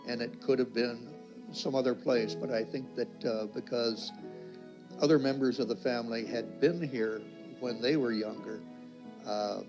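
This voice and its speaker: A man reflecting in an interview, halting and searching for words. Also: medium pitch, fairly even intonation, low-quality recording. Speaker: interviewee in a documentary. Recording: music under the voice. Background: instrumental music.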